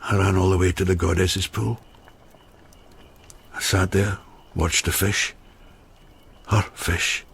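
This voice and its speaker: A man playing an earthy, haunted widower in a radio drama, an expressive teller who halts and repeats himself. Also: low-pitched, takes it slowly, creaky, long pauses, a little hiss or hum, exaggerated voice. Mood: numb, confessional. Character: haunted, earthy widower who cooks and once went mad.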